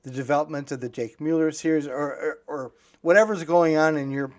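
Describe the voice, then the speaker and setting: A man with a slightly high voice hosts a podcast interview. His delivery is casual and musing, arriving in halting fragments as he thinks aloud. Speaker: podcast host.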